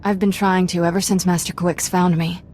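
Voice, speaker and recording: A woman plays a sardonic young trainee in a video game cutscene. Her voice is fairly low, flippant and casual, over noticeable ambient noise. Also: flat delivery, fairly quick, darkish tone, close to an everyday voice. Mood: earnest, wry. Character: sardonic young female Jedi apprentice, former street urchin.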